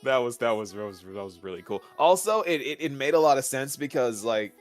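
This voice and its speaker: A man's voice: mid-range voice, lively intonation, a little fast. Male guest on a stream, mid-range voice, easygoing conversational delivery, light music underneath. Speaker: stream guest, lore enthusiast. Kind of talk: casual chat, discussing game story.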